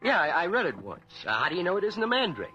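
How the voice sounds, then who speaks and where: A man's voice: high-pitched, wildly swooping pitch, fast talker, sharp tone, dull audio, heavily acted. A man playing a nervous, evasive husband in a radio drama, stammering and falsely friendly. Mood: uneasy. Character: shifty, nervous husband hiding something, evasive adult man. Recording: radio drama with music underneath.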